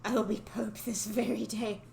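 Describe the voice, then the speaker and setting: A woman's voice: fairly high pitch, steady tone, moderate pace, vocal fry, a little mellow. A woman voicing a demanding, impatient fisherman's wife from a storybook, with an imperious manner. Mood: scornful, insistent. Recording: faint background noise.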